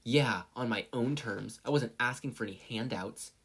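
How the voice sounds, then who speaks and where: A man's voice: mid-range voice, normal speed, a bit bright, husky. A man voicing a proud, aggressive, hot-headed teenage boy in a story reading, combative and grumbling. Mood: irritated.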